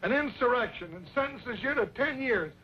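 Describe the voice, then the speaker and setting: An actor playing a folksy, eccentric older judge in an old western radio drama, creaky and a little pompous. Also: male voice, animated, moderate pace. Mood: blustering. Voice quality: creaky, high-pitched. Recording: dull, hissy old radio recording.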